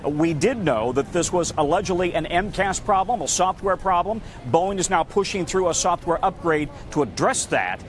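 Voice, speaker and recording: High-pitched American man reporting news. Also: expressive, normal speed, few pauses, slight background noise. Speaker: TV news correspondent.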